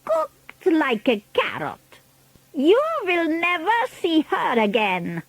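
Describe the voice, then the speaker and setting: Shrill, imperious witch leader with foreign accent. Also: huge pitch swings, no echo, full character voice. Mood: gleeful menace. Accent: foreign, non-native English. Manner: grandiose, commanding, drawn-out emphatic words. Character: imperious, menacing child-hating witch leader.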